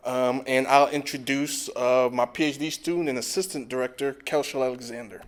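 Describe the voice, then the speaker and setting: A man's voice: medium pitch, fairly quick. Man lecturing on a video call. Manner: relaxed, with frequent "um" and "you know". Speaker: physical therapy professor giving a lecture. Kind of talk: online lecture.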